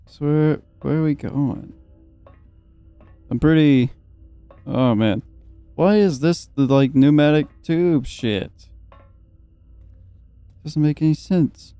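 A man's voice: on the high side, slow, long pauses, mellow, clean tone, dry recording, soft background music. A young man giving casual let's play commentary, joking and swearing and at times reading game text aloud. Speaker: gaming video host. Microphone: close, dry microphone.

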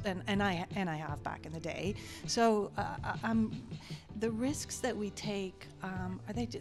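A woman's voice: medium pitch, a little mellow. A woman in her fifties, a television writer-producer, answering interview questions in a thoughtful, chatty way and laughing often, into a handheld mic at an indoor press event.

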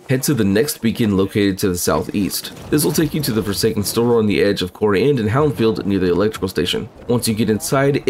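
A man reads a scripted game guide at a quick clip in a fairly low voice. His delivery is matter-of-fact and instructional, with faint noise underneath. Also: a little mellow. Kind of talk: scripted walkthrough narration.

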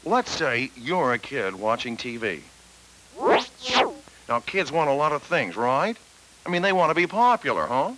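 A man's voice: medium pitch, sing-song, a little slow, pauses often, fairly bright tone, a little hiss or hum, rough recording. An actor playing a scheming, greedy advertising executive in a 1970s cartoon, with a brash salesman's patter. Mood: scheming, persuasive. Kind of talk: 1970s animated educational film acting.

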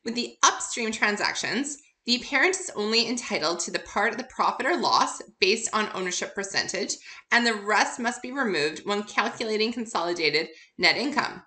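A woman's voice: mid-range voice, expressive, moderate pace, sharp tone. A woman instructor narrating an informal recorded lecture, upbeat and encouraging, addressing her listeners directly. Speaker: accounting instructor.

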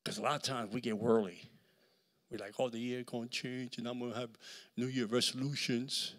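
A middle-aged man with a Puerto Rican accent preaching a sermon with a handheld mic in a large church hall, swinging between shouts and near-whispers. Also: medium pitch, flat delivery, brisk. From Puerto Rico. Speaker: guest preacher.

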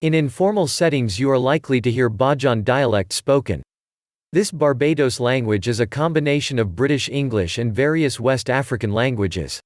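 A man narrating an educational video, reading out facts and figures in an even manner. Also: mid-range voice, normal speed, dry recording, studio quality.

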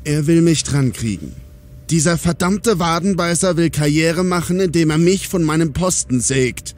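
A man's voice: a little high, expressive, moderate pace, darkish tone, lightly acted. A man playing a diplomatic, calculating police commander in a German audio drama. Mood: angry, tense.

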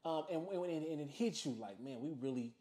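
A man with a somewhat high-pitched voice interviews a guest casually. He talks in slang, affirms often and tends to repeat his own phrases. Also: animated, normal speed, mellow, reverberant. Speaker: interviewer, host. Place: small music studio room, off camera.

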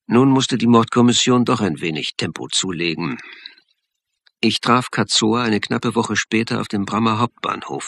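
Wry crime-drama narrator, husky, sharp-edged, measured, dry studio recording. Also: male voice, lowish voice, no room sound, exaggerated voice. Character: wry male narrator, a sober police academy lecturer. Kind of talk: scripted first-person radio drama narration.

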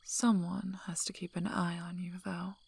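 A woman playing a tough, teasing, gruffly protective werewolf alpha in a soft-spoken, breathy ASMR roleplay, with dry delivery. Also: fairly low pitch, little pitch movement, moderate pace, no echo, exaggerated voice. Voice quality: breathy, whispery, husky.

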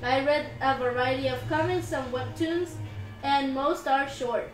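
Young female co-host, slow casual delivery, music playing behind her. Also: high-pitched. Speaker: livestream co-host reading viewer comments. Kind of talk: casual bantering livestream chat. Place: indoor room, livestream at desk. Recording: roomy echo, music behind.

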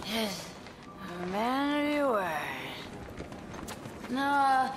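A woman's voice: sits high, expressive, very slow, full character voice. An actress playing a woozy, bloodthirsty female fighter in a video game, with a drawling, menacing delivery. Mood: woozy bargaining. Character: woozy, bloodthirsty, foul-mouthed wasteland fighter woman. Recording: noisy ambience behind the voice.

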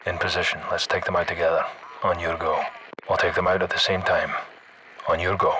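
A man's voice: low-pitched, level tone, fairly quick, noisy background, lightly acted. A man playing a battle-hardened Scottish special forces squad leader in a video game, giving terse, urgent military orders. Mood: low, controlled.

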